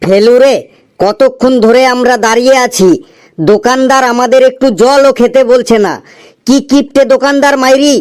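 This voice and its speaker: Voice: sits high, steady tone, normal speed, a little mellow, smooth, natural voice. An actor voicing a cheeky, impatient young student in a comedy cartoon, arguing and talking back. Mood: impatient, complaining.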